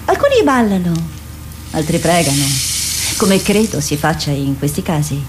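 A woman playing a grave, resigned female warrior with a measured delivery in a radio drama. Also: lowish voice, big pitch swings, noisy background. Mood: bleak, wry. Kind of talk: Italian radio drama acting.

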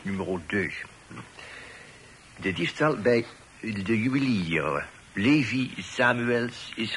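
An actor playing a deferential, fussy informant in a radio play, affectedly formal and hesitant, dropping French phrases. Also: male voice, mid-range voice, sharp tone. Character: deferential, fussy male police informant peppering speech with French. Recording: faintly noisy old radio recording.

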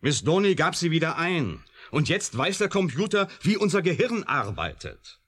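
An insistent, husky-voiced male scientist. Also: a little high, big pitch swings, moderate pace, fairly bright tone. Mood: urgent, insistent. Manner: insistent, emphatic, argumentative. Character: alarmed, stubborn male scientist warning against a computer. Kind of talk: German radio play acting.

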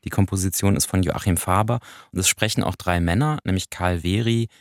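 A man's voice: low-pitched, brisk, no room sound, clean studio sound. A man co-hosting a podcast, explaining and reviewing in a conversational way with small asides. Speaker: podcast co-host. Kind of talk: conversational radio play review.

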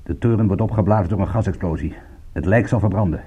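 A weary private eye in a radio drama. His voice is lowish, mellow and husky. He talks in a wry, laconic way. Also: quick pace, muffled recording. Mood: sardonic, tense. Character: world-weary, wry male private detective. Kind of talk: radio drama acting with first-person narration.

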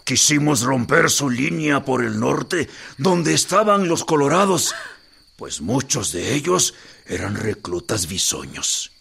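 An actor with a Mexican accent playing a gravelly, folksy old great-great-grandfather who reminisces about his war days in a radio drama. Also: medium pitch, big pitch swings, a bit bright, exaggerated voice. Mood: reminiscing. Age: older. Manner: slow, rambling, folksy.

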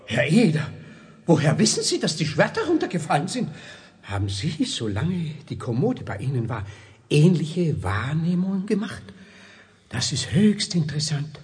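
A deferential, credulous manservant in a 1970s radio play. He is formal and pompous, with a highish voice that swoops with excitement. A long reverb surrounds him. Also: moderate pace. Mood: excited, awed. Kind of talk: 1970s German children's radio play acting.

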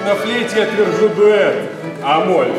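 An idle retired officer in a radio verse drama. He speaks slowly and languidly in a fairly high male voice, in an echoey hall over loud music. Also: expressive, recorded from a distance. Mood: resigned friendliness. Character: idle married retired officer, resigned and good-natured. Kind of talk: theatrical radio play acting in verse.

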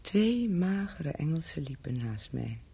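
A husky, dark-toned woman speaks slowly in an intimate radio drama. She is a cynical, bittersweet woman of the world. Her breathy voice often sinks toward a whisper. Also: low-pitched, very animated, heavily acted. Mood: wry. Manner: slow, deliberate, bittersweet and mocking. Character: world-weary cynical ex-prostitute and former spy, now hotel keeper. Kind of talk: intimate radio play acting.

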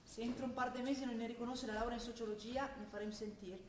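An actress playing a determined, studious young daughter in a stage drama, with a Trentino accent and an earnest manner. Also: female voice, a little high, steady tone, fast, darkish tone, reverberant, a little hiss or hum, natural voice. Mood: earnest, tired. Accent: Trentino, northern Italian. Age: young adult. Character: determined, studious young female sociology student, impatient daughter. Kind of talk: stage acting, from dialect talk to declaimed reading.

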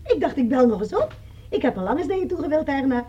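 A rambling payphone gossip. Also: female voice, high voice, sing-song, quick pace, dark tone, far from the mic, dull audio, a little hiss or hum. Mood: chatty. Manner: talkative, rambling. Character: chatty woman gossiping on a payphone. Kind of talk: 1960s radio play acting.

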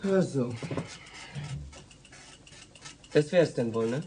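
A woman's voice: rumbling low, expressive, moderate pace, mellow, a little hiss or hum. A tough streetwalker talks bluntly and challengingly, with bitter sarcasm. She is a defiant woman in a crime radio play. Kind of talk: German radio drama acting.

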